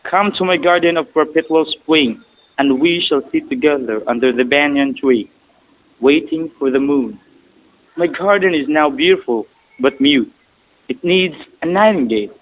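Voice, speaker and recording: A man's voice: fairly high pitch, moderate pace, phone-quality sound, natural voice. A man playing a courtly, romantic emperor in an audio drama, speaking formally and poetically. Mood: tender, wooing. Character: romantic emperor, courtly, tender, dreamy ruler. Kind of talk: stage-style audio drama acting.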